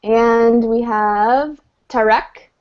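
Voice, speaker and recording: A woman teaching a beginner English class online, speaking patiently and clearly with an encouraging manner. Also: fairly high pitch, fairly even intonation, drawn-out words. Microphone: video call. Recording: dull lo-fi video call audio.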